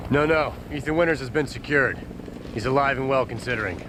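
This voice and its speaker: An actor playing a determined, terse, commanding military operative as the hero of a video game. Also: male voice, mid-range voice, fairly quick, heavy background noise, lightly acted.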